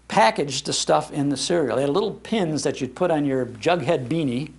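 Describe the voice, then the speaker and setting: An older man hosting a live talk, telling nostalgic stories in an animated, emphatic way. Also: high voice, creaky. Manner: animated storyteller, emphatic repetition. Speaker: radio show host giving a talk. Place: public library talk before an audience.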